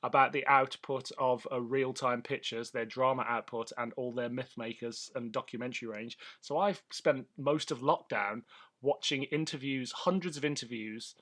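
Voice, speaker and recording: A man's voice: mid-range voice, steady tone, normal speed, a bit bright. Chatty male podcast host. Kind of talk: casual banter between co-hosts.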